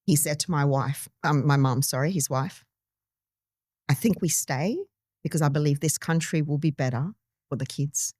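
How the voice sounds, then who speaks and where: Low-voiced woman in her early fifties. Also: moderate pace, darkish tone, husky, no room sound, clean studio sound. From Timor-Leste. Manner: earnest, personal, with many restarts and ums. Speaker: podcast guest. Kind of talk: conversational interview storytelling.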